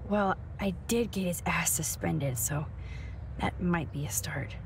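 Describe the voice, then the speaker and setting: A woman acting a caring, nerdy young student photographer in a video game, hushed and supportive, quietly determined. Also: fairly low pitch, unhurried, vocal fry, background noise. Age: young adult.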